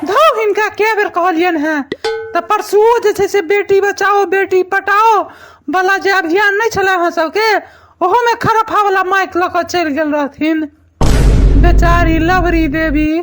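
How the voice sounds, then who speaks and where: A man's voice: extremely high pitch, fairly even intonation, unhurried, a bit bright, soft background music. A man with a Maithili accent from Bihar voicing a complaining small-time reporter in a cartoon comedy. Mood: exasperated.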